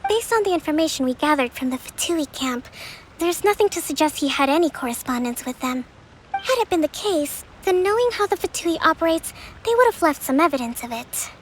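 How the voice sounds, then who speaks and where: A woman voicing a calm young priestess who leads her island, speaking in a composed, formal, reasoned way. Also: high-pitched, brisk, slight background noise. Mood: firm. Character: calm young female priestess-leader, measured and fair. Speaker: island's divine priestess and leader. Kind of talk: video game voice acting. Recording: faint game music behind.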